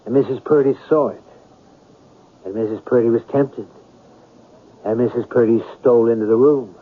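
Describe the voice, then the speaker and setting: An actor playing an inquisitive, imaginative writer turned sleuth in a 1970s radio drama, musing in a theatrical, deliberate way. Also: male voice, medium pitch, steady tone, lightly acted. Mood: speculating. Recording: muffled, lo-fi old radio recording.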